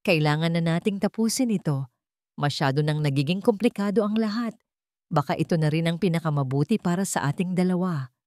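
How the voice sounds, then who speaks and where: A woman's voice: on the low side, animated, fairly quick, darkish tone, no room sound, studio quality, lightly acted. A woman voicing a cowardly, cold and evasive husband in a radio drama story. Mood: harsh, dismissive.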